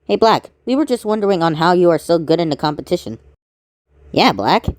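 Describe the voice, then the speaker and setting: Lady doing fan cartoon character voices, lowish, super swoopy delivery, really dry recording. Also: lively intonation, fairly quick, dry recording. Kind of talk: amateur fan voice acting of animated characters.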